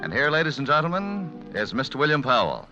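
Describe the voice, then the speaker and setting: A man's voice: on the high side, big pitch swings, fast. An American man announcing a 1940s radio show in a scripted broadcast style. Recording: dull vintage radio broadcast with music.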